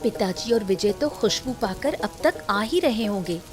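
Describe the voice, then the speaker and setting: A woman's voice: mid-range voice, expressive, measured pace, music in the background, natural voice. A woman voicing cheerful, dutiful village women in a cartoon family story. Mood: pleased, homely. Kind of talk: Hindi cartoon story voice acting.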